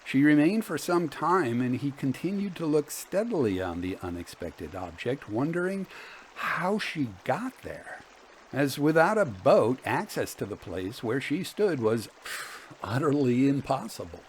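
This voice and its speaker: Male storyteller for Victorian ghost tales, measured and deliberate, with lively rises and falls in pitch. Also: a little hiss or hum. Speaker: host and reader of a ghost story podcast.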